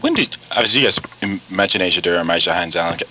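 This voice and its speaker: A male reporter with a low, deep voice and a bright edge asks drawn-out, winding questions at a press conference. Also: animated, a little hiss or hum. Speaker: sports reporter.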